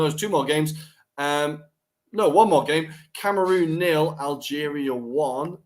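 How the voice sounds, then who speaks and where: A man co-hosting a podcast, chatting casually and bluntly through a football recap and laughing easily. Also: medium pitch. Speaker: podcast co-host.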